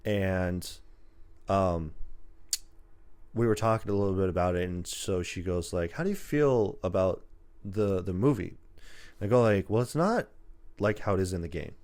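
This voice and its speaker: Guy with a lowish voice, podcast host chatting casually, acts out little quotes as he tells stuff. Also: normal speed, pauses often. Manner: animated storytelling, acts out imagined dialogue. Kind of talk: casual chat about movies.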